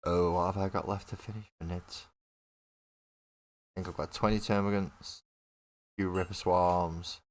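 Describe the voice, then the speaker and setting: A man's voice: low voice, little pitch movement, unhurried, long pauses. A man hosting a model painting livestream, chatting casually with viewers as he builds, with a morning cough and frequent laughs. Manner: chatty, laughs often, talks to viewers in chat. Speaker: mini painting livestream host.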